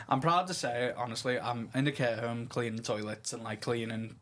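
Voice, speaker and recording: A man from Liverpool speaking as a podcast guest, candid and informal, a little halting as he talks about himself. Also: mid-range voice, steady tone, a bit bright. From Liverpool, England. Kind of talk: casual personal chat.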